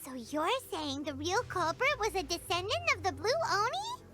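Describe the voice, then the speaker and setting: A woman voicing a tiny, childlike female fairy companion in a video game, squeaky, curious and pert. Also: big pitch swings, moderate pace, pushed and bright, soft background music, exaggerated voice. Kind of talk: scripted video game voice acting.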